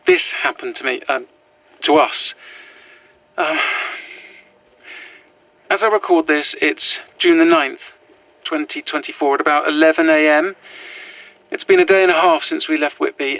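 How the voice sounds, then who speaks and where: A woman's voice: deep voice, moderate pace, long pauses, a bit bright, no room sound, poor audio. A woman with a British accent playing an anxious, earnest former teacher on the run in a horror audio drama, hesitant and apologetic.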